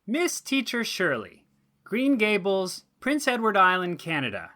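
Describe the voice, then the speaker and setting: An actor playing an earnest, naive schoolboy who reads his composition aloud in a deliberate, declaiming way for an audio drama. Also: sits high, huge pitch swings, bright, forceful tone, heavily acted. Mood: earnest, proud. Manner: declaiming, deliberate, with childish grammar. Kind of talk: audio drama letter reading.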